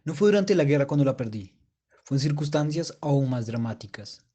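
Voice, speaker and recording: A man's voice: mid-range voice, animated, normal speed, dark tone, natural voice. A man playing a mature German war veteran reminiscing in a radio play. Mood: wistful reminiscing. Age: middle-aged. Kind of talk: radio theatre storytelling.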